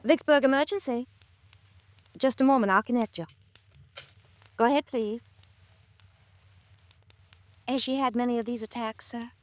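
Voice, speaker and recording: An actress with an American accent playing a polite, brisk, unyielding hospital switchboard receptionist in a 1950s radio drama. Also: fairly high pitch, lightly acted.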